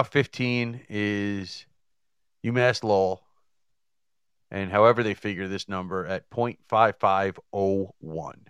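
A man co-hosting a sports talk show who reads out rankings and numbers haltingly. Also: lowish voice, a bit bright, clear, resonant tone, dry recording. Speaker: co-host.

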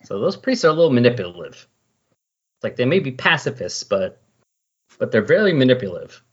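A man's voice: mid-range voice, big pitch swings, a little fast, a bit bright, husky, dull audio. A man talking casually as a podcast co-host in a rambling, conversational way about comics.

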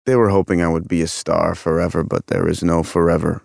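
A man acting a haunted, wistful murdered movie heartthrob in an audio drama, with a gravelly voice and brooding, poetic delivery. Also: deep voice, flat delivery, brisk, darkish tone, no echo, studio quality. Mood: wistful, bitter. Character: murdered 1950s Hollywood heartthrob, haunted, bitter, wistful ghost. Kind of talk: audio drama monologue and dialogue.

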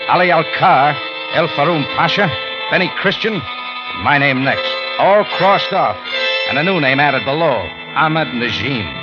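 An actor playing a tough, brisk American cafe owner who narrates his own adventure in a hardboiled radio drama, curt and slangy. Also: medium pitch, close to an everyday voice. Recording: muffled old radio recording, music behind.

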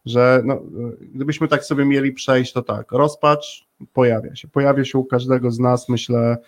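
Affirming male podcast host, medium pitch. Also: level tone, normal speed. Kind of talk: conversational interview.

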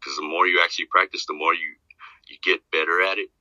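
A man talking casually as a podcast guest, rambling and repeating himself, with a deep voice. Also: fairly even intonation, fast talker, bright, forceful tone, dry recording, lo-fi sound. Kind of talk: casual chat.

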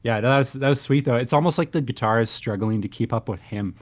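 A man co-hosting a music podcast, easygoing and agreeable in casual chat. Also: mid-range voice. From Canada. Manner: easygoing, agreeable, quick reactions. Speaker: podcast co-host.

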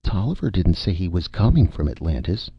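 Storybook starlet, played by a male reader: placid, slow-witted woman, pitched up, vague and unbothered. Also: sing-song, vocal fry, husky, dry recording, highs cut off, exaggerated voice. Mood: unruffled. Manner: vague, unruffled, dreamy. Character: vague, placid, dim-witted young female film star.

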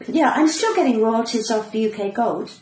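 A woman's voice: a little high, expressive, moderate pace, darkish tone. A middle-aged woman with a cut-glass British accent, chatting casually and cheekily as a comedian being interviewed. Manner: cheeky, flirtatious. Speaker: comedian and actor guest. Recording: echoey room with noise.

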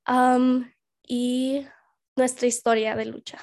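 Young woman from New Mexico, unhurried casual chat, fairly high voice. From New Mexico, USA. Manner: relaxed, many fillers, laughs easily. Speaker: guest. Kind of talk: casual conversation.

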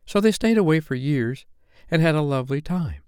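A man's voice: on the high side, lively intonation, normal speed, a little mellow, clear, resonant tone, dry recording, studio quality, pitched above the performer's own voice, natural voice. A man voicing a young girl who tells a bedtime story in a patient, motherly, slightly chiding way. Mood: triumphant, complacent.

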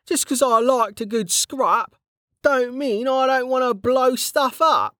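A narrator voicing Kettle, a rough, cheeky male guard who talks in brash slang. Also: extremely high pitch, slow, bright, forceful tone, dry recording, studio quality, pitched above the performer's own voice, exaggerated voice.

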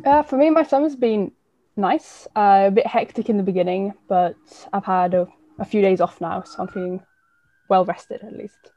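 A young woman in her twenties with an English accent speaks as an interview guest. Her voice is mellow and warm, and the recording is dry and close. She talks in a measured, thoughtful way, stopping on "um" and "uh" as she goes. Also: mid-range voice, no echo, dull audio. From Sweden. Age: 20s. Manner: measured, thoughtful, with frequent fillers. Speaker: guest, climate activist. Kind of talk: casual interview chat.

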